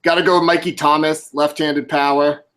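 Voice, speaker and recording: A man's voice: on the high side, little pitch movement, moderate pace, fairly bright tone. A man in his twenties, a blogger guesting on a podcast, talking casually, laughing easily and saying "like" a lot. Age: 20s. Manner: chatty, laughs easily, lots of "like".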